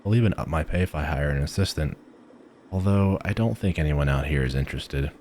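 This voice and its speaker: A man's voice: very deep voice, a little fast, a little mellow, a little hiss or hum. A man playing a friendly, eccentric village alchemist shopkeeper in a fantasy audio roleplay, chatting eagerly to the listener with musing hums. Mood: chatty, explaining.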